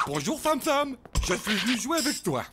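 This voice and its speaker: A woman's voice: fairly high pitch, sing-song, moderate pace. A woman voicing a mischievous, cheerfully pushy young playmate in a children's cartoon, playful and boisterous. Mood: gleeful. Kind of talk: French children's cartoon voice acting. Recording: loud music behind the voice.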